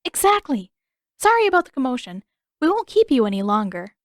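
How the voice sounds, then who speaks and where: A woman's voice: high-pitched, very animated, brisk, dry recording, clean studio sound, pitched above the performer's own voice. A woman voicing a stubborn, sly teenage girl in an audiobook story, emphatic and exclaiming. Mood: forced politeness. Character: indignant teenage girl, stubborn, slyly cheerful schemer.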